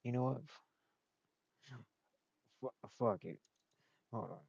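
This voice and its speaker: A man's voice: medium pitch, takes it slowly, vocal fry, darkish tone, slightly rough, dry recording, dull audio. A young man streaming a game, chatting casually, rambling, talking to himself, and swearing often. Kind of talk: casual gaming stream chat.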